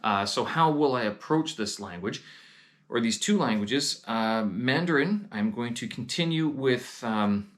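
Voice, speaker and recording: A man's voice: mid-range voice, lively intonation, unhurried. A man hosting his own vlog, talking casually and hesitantly with frequent "uh" fillers and restarts. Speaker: vlog host. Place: lives in Beijing.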